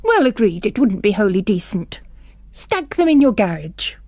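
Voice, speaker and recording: A woman's voice: mid-range voice, sing-song, moderate pace, a little mellow, dry recording. A woman voicing a pompous, impatient male bishop with a blustering, emphatic manner, in an audiobook-style drama. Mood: impatient, brisk.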